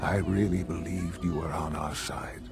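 An actor playing a bitter, dying older male scientist in a video game, weary and disdainful in a measured way. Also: sits low, steady tone, vocal fry, over music. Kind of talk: video game dialogue acting.

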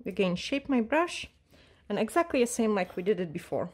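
Woman nail tech, mellow tone, calm step-by-step tutorial delivery with fillers. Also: medium pitch, fairly quick, slightly rough. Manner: calm, instructive, with frequent fillers and non-native grammar. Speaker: nail technician teaching a tutorial. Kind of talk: step-by-step tutorial narration while working. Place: nail salon desk.

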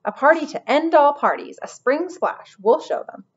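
A woman performs a self-important male lizard who runs a hotel front desk in a children's storybook. He is emphatic and easily worked up, with a raised, very animated voice and slightly dull sound. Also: sits high, normal speed, voice pushed up. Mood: gleeful, boastful. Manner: pompous, emphatic. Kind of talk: children's audiobook character voice.

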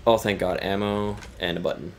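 A man's voice: sits low, fairly even intonation, fairly quick, noisy background. A man chatting and calling out moves to a friend while playing a co-op shooter. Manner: chatty, self-mocking, calls out moves. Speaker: co-op gamer. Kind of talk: casual banter while playing.

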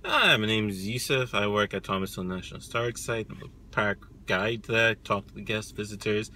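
A man's voice: lowish voice, lively intonation, fairly quick, sharp tone, slight background noise. A man in his thirties from Nigeria, a park guide, chatting easily in a parked car about trying ham radio. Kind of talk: casual chat. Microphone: camera on the dashboard.

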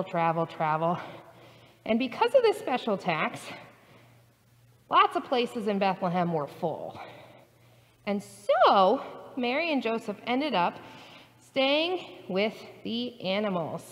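A woman pastor telling a Bible story to preschool children in an animated, expressive way, recorded in a church sanctuary by a distant camera. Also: mid-range voice, in a large hall. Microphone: far camera.

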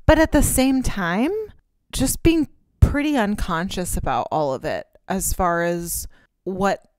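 A woman's voice: medium pitch, animated, takes it slowly, darkish tone, clean tone, dry recording, clean studio sound. A woman, co-hosting a podcast in casual chat, candid and rambling with casual swearing. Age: 30s. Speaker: podcast co-host. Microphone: close podcast mic.

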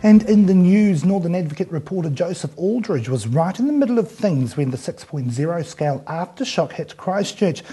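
A man's voice: a little high, dark tone, breathy edge. A middle-aged man, presenting news and asking interview questions formally from a studio desk on a lapel mic. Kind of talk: scripted news reading and interviewing.

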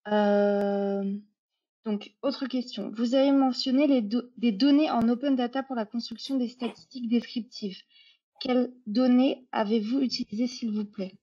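A woman's voice: fairly high pitch, steady tone, a little slow, warm tone. A woman moderating an online meetup informally, reading out audience questions over a muffled video call. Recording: dull video-call audio.

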